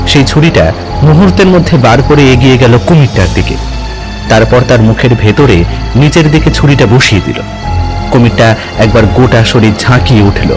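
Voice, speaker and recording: Guy narrating adventure audio story, animated delivery, medium pitch, light music in back. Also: low-quality recording. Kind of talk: dramatic scripted narration of adventure fiction.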